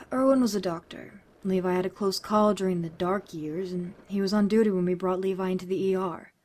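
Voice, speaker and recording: A woman's voice: medium pitch, normal speed, darkish tone, lightly acted. A woman playing a wry, teasing, tough-talking woman in a fan audio drama, casual and blunt. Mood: wry, reminiscing.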